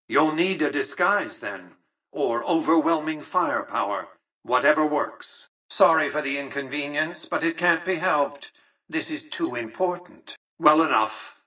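A male actor playing an imperious, calculating elderly industrialist in a video game, formal, measured and condescending, through a radio-like speaker filter. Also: medium pitch, pushed and bright, slightly rough, far from the mic, exaggerated voice, poor audio. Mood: cold, menacing, then brisk, commanding. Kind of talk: scripted video game character dialogue.

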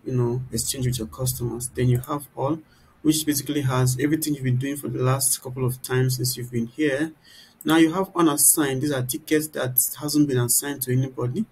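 A man's voice: medium pitch, a little mellow. Man teaching, noisy background. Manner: explanatory, poses rhetorical "what?" questions mid-sentence. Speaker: tutorial presenter. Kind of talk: informal software tutorial narration. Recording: clear background noise.

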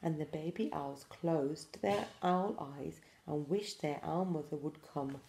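A woman in her forties gently reading a picture book aloud to pre-school children, with expressive storytelling. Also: low-pitched, unhurried, gravelly, roomy sound, slight background noise. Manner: gentle, addressing small children. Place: small room indoors.

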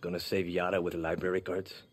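An actor playing a weary, cynical young island man in a video game cutscene, husky and blunt. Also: sits low, little pitch movement, a little fast, slight background noise, lightly acted. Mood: cynical, sardonic. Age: young adult. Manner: blunt, sardonic.

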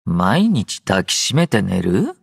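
Slow, drowsy young android soldier. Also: male voice, fairly low pitch, wildly swooping pitch, gravelly, slightly rough, no echo, studio quality, exaggerated voice. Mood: drowsy, nonchalant. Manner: languid, understated, sleepy delivery. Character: drowsy, low-energy young male android soldier, aloof yet gentle. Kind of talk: Japanese anime game character voice lines.

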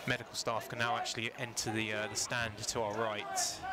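A man giving live football commentary from a stadium stand, conversational and halting, with plenty of fillers. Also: on the low side, little pitch movement. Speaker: match commentator. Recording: loud crowd and outdoor noise.

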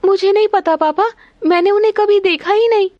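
Very high, frightened young daughter. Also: female voice, fairly quick, no room sound, lo-fi sound. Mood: anxious. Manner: plaintive, pleading. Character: frightened, pleading young snake-girl daughter, tearful and timid.

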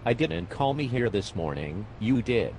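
A man voicing a tired, sarcastic male fire medic in an amateur animated comedy, speaking dryly and with exasperation. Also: medium pitch, a little slow, slight background noise.

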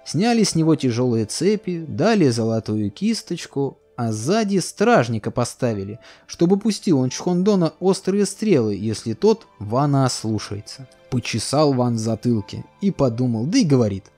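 A man narrating a fairy tale for a cartoon in a sing-song, expressive storytelling manner. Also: mid-range voice, moderate pace, hardly any pauses. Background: faint music.

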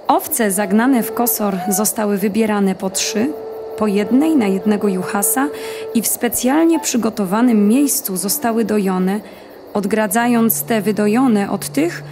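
A woman narrator reading a scripted audio-drama story in a measured, clearly phrased way. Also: medium pitch, noisy background. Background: music.

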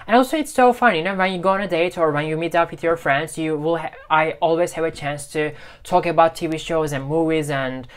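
A man's voice: fairly high pitch, brisk, smooth. A young man in his twenties with a Turkish accent, chatting animatedly to camera about learning English, full of fillers like "you know" and "like". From Turkey. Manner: animated, gestures with both hands, loose filler-heavy phrasing. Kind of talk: casual talk to camera. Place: small bedroom at home.

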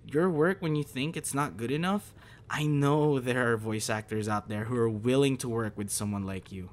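A man playing a gentle, encouraging young voice actor in a soft, hesitant, reassuring ASMR boyfriend roleplay. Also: mid-range voice, natural voice. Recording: noticeable background noise.

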